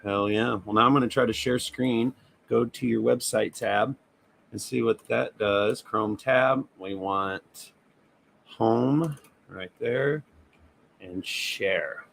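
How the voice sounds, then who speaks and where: A man's voice: medium pitch, takes it slowly, vocal fry, a bit bright, slight background noise. A man hosting a casual podcast livestream, talking loosely and swearing freely as he thinks aloud. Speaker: podcast host. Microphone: remote stream setup.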